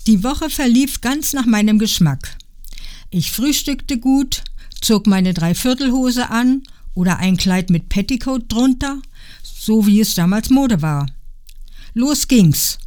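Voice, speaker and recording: An older woman from Berlin, reading aloud from her own written story in a calm, reflective way. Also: on the high side, slow. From Berlin, Germany. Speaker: guest reading her memoir.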